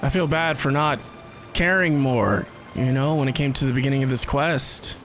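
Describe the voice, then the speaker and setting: A man's voice: mid-range voice, moderate pace, fairly bright tone. A man hosting a gaming video, giving casual reaction commentary as he plays. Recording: faint game music behind the voice.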